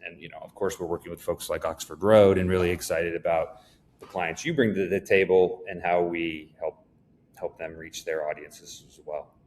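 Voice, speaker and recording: A man speaking as an executive guest in a conversational business interview, explaining things in a measured way. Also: sits low, steady tone, a little mellow, slight background noise.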